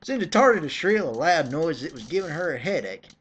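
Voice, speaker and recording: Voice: mid-range voice, very animated, a little fast, a bit bright, slight background noise. A reader giving a mock dramatic reading in a theatrical, exasperated way, breaking off for sarcastic asides. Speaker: reader of fan fiction.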